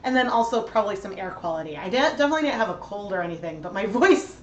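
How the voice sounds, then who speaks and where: A woman with a slightly hoarse voice hosts a relaxed livestream tutorial, rambling as she thinks aloud and filling pauses with likes and ums. She sits back from the mic in a roomy, echoey space with a faint hum of ambience underneath. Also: medium pitch, lo-fi sound. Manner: chatty, thinks aloud, many fillers. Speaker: livestream host dyeing yarn. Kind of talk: casual livestream tutorial chat. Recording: roomy sound from a distant mic.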